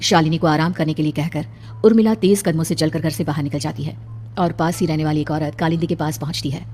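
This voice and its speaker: A woman's voice: deep voice, steady tone, fast, darkish tone. A woman narrating a scripted horror cartoon story in a dramatic storytelling style.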